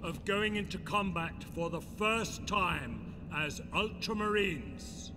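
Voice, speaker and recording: An actor playing a stern, rousing space marine commander, solemn and declamatory, in an animated sci-fi film. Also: male voice, high-pitched, slow pace, noisy background. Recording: long hall reverb under loud music.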